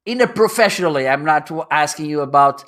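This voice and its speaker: A man's voice: fairly high pitch, animated, quick pace, a bit bright. A man hosting a live stream, chatting and teasing his guest, laughing often and reading out viewer comments. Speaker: host.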